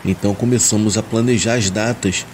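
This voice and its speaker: A Brazilian man narrates a supernatural tale in the first person, reading from a script. His voice sits on the low side, and a soft bed of rain runs behind it. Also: fairly quick. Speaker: narrator of listener-submitted horror stories. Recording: steady rain noise behind the voice. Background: rain ambience.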